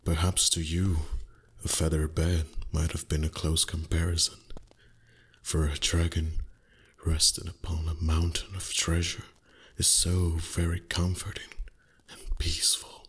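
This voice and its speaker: A man voicing a proud, greedy, centuries-old dragon in an ASMR roleplay, speaking in a husky, breathy voice with slow, deliberate, haughty delivery that savours the words. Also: rumbling low, monotone, fairly bright tone, heavily acted. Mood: languid, proud.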